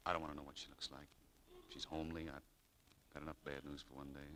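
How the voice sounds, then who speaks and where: An actor playing a proud, bitter young shop clerk in a 1940s radio drama, needling and prone to stammering, on a noisy old recording. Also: male voice, extremely low pitch, little pitch movement, fast talker, bright, forceful tone. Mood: dejected.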